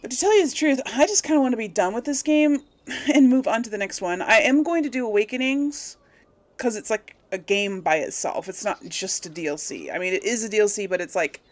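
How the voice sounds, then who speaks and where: A woman with a mid-pitched voice hosts a casual gaming video. She speaks to her viewers in an informal, chatty way, thinking aloud as she plans what to do next. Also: animated, brisk. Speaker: let's play host.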